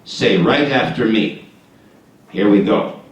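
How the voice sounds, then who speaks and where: A man's voice: on the low side, takes it slowly. A man voicing a chatty, ingratiating male know-it-all in a live puppet show, coaxing the audience to join in. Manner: eager, coaxing, leads the audience in call-and-response. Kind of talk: live shadow puppet theatre. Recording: echoey hall, distant mic.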